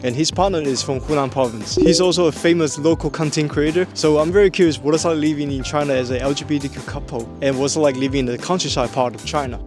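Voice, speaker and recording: Vlog host guy, upbeat and curious, music clearly under him. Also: on the high side, quick pace, few pauses. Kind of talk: vlog interview.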